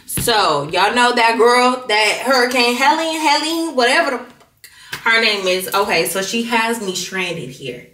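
A woman's voice: a little high, lively intonation, a little slow, sharp tone, roomy sound, slight background noise. A woman vlogger with a stuffy nose, chatting casually to her viewers while out in a city. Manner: chatty, addresses viewers as y'all. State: congested. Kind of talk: casual vlog chat. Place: outdoors in a city.

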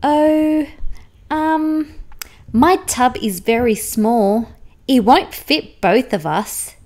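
Woman as a high-pitched, fussy storybook unicorn. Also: very slow, darkish tone. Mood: protective, fussy. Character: vain, fussy female unicorn, easily exasperated. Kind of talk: children's picture book read-aloud.

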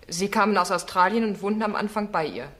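A woman playing a composed female defence barrister, mid-pitched. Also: fairly bright tone, close to an everyday voice. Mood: composed, probing. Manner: formal, measured questioning. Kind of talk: radio play acting.

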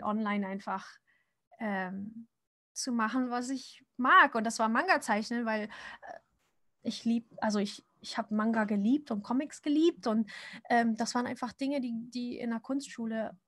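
A woman in her thirties from Japan chats casually through a drawing-stream Q&A, her voice sitting a little high. She rambles with fillers and restarts. The sound is dry and close, from a desk microphone. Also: no room sound. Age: 30s. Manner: chatty, many fillers and restarts, frequent laughs. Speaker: comic artist answering viewer questions. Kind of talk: casual livestream Q&A while drawing.

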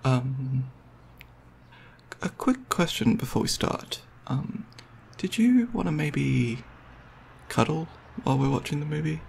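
A young man playing a gentle, nerdy, considerate boyfriend in an intimate ASMR roleplay, talking in a hesitant, soft-spoken, conversational way. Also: on the high side, lively intonation, slow pace, gravelly, slight background noise, full character voice. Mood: nervous, tender.

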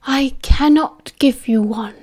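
A woman reading as a soft-spoken old wizard. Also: sits high. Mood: calm, measured. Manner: slow, soft, half-whispered delivery. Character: old male headmaster wizard, calm and measured.

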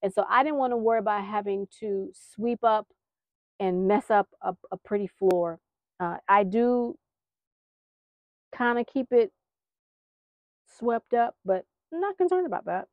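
A woman's voice: medium pitch, expressive, unhurried, pauses often, no echo, highs cut off. An American woman giving a casual, rambling vlog update, chatty, folksy and a little apologetic, talking as she films around her backyard garden. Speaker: gardening vlogger, host.

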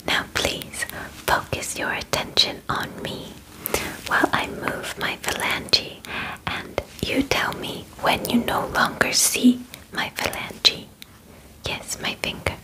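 A woman in her twenties whispering close to the mic in an ASMR roleplay as an examiner, deliberate and formal in her phrasing. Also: low-quality recording. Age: 20s. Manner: slow, soft, deliberate, formal clinical phrasing. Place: small bedroom, indoors.

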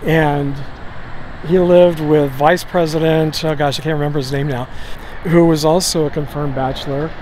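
A man's voice: on the high side, steady tone, moderate pace, a little mellow, poor audio. An older man rambles and muses aloud in casual walking-tour chat, recorded outdoors on a handheld camera. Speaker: vlogger giving a walking tour. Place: outdoors, on quiet residential streets. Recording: outdoor ambient and vehicle noise.

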